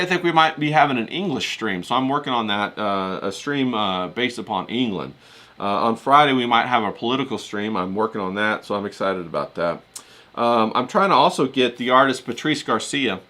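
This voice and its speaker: A man with a fairly low voice and a bright, forceful edge. He talks as a livestream host in a loose, folksy monologue, circling back over his words in colloquial, rambling phrases. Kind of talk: casual chatty livestream monologue.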